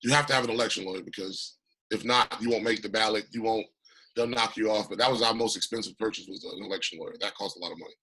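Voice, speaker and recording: A man with a husky, raspy voice talks with passion and emphasis as an interview guest, still recovering from an illness. His tone is bright and forward. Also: on the low side, quick pace, rough recording. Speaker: congressional candidate, guest. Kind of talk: remote interview.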